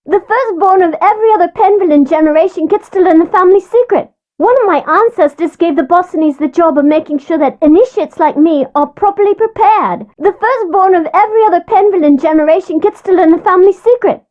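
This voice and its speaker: A woman's voice: very high-pitched, fairly quick, hardly any pauses. A woman voicing an earnest young girl in a video game, childlike in delivery, with slightly dulled audio. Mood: earnest, secretive. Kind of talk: video game character dialogue. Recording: slightly dull, band-limited game audio.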